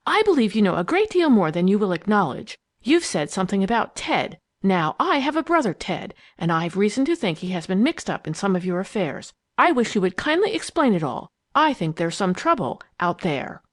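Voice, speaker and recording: A woman voicing a bold, determined girl in a storybook, stern, forceful and insistent. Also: mid-range voice, very animated, normal speed, few pauses, no room sound, studio quality.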